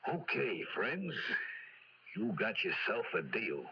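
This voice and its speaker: American radio-drama crook, very low voice, dull old recording, slangy, laconic, greedy blackmailer. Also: male voice, huge pitch swings, slow pace, creaky, heavy background noise, exaggerated voice. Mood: sly, unhurried. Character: shady ex-con blackmailer, slangy, unhurried, greedy man. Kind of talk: old-time radio crime drama acting.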